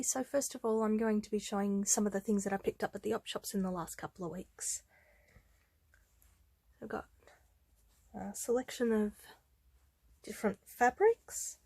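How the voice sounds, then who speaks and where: A woman's voice: mid-range voice, a little mellow, dry recording. A woman, a little congested from a head cold, casually showing craft supplies with plenty of ums and uhs. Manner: chatty, unhurried, with frequent ums and uhs. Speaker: craft vlogger. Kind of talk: casual show-and-tell vlog.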